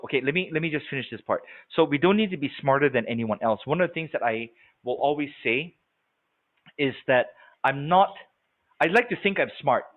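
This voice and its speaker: Man from Singapore on a tinny line. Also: mid-range voice. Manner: conversational, frequent check-ins with listeners. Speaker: sales trainer. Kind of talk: live webinar training talk. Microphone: video call. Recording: tinny phone-like audio.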